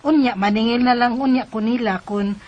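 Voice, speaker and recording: An actress playing a hopeful, upbeat young wife who sells on credit, in Bisaya radio drama style with a Cebuano accent. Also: female voice, fairly high pitch, moderate pace, muffled recording, close to an everyday voice. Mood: eager.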